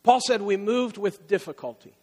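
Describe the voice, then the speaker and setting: A high-pitched man preaching from a church pulpit, speaking conversationally and circling back to repeat key phrases. His voice rises for emphasis, and a long reverb from the large hall trails behind each line. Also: moderate pace. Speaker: pastor. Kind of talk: sermon.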